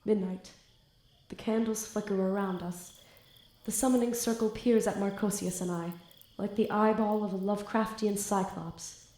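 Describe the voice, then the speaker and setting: A woman's voice: mid-range voice, steady tone, moderate pace, mellow, lightly acted. Woman as an eager teenage magician. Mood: dramatic narration. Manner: casual teen banter, theatrical wordy narration. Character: eager, flippant teenage student magician, lovestruck and anxious. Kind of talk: audio drama acting and first-person narration.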